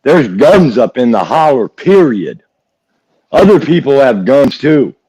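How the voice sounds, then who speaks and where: A man's voice: medium pitch, sing-song, a little slow, dark tone, breathy edge, low-quality recording. A man from West Virginia co-hosting a live true-crime chat, folksy and repeating himself for emphasis. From West Virginia, United States. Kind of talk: casual opinionated chat.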